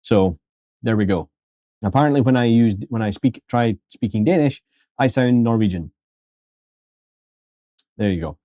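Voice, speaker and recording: A man with a fairly low, mellow voice talks his viewers through a sculpting session on a live stream. He is chatty and easygoing, cracks small jokes and calls his viewers folks. The sound is close and dry. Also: animated, a little slow, pauses often, no room sound. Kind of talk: casual live-stream tutorial commentary.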